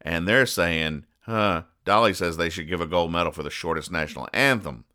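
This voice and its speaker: Low, crisp-voiced man, deadpan comic commentary. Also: moderate pace. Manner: deadpan, mock-exasperated. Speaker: podcast host. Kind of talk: comic commentary reading newspaper comics aloud.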